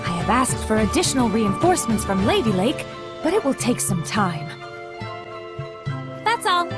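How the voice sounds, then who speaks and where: High, perky young messenger. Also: female voice, very animated, normal speed. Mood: reporting. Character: perky, brisk young messenger, upbeat and encouraging. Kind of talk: animated game cutscene acting. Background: loud music.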